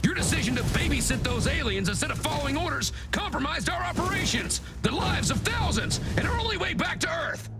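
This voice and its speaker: A man playing a stern, hot-tempered middle-aged colonel in an animated sci-fi drama, with a gruff, commanding delivery. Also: extremely high pitch, brisk, few pauses, over loud music, exaggerated voice. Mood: angry, shouting. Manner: commanding, barking.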